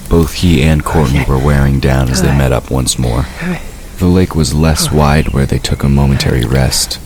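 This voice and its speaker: A man's voice: extremely low pitch, a little mellow, a little hiss or hum. A man narrating a scripted fantasy story for an audio drama.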